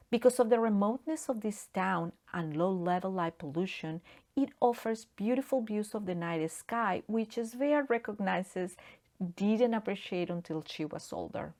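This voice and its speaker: A woman's voice: medium pitch, dark tone. Female host, mid-range voice, scripted interview narration.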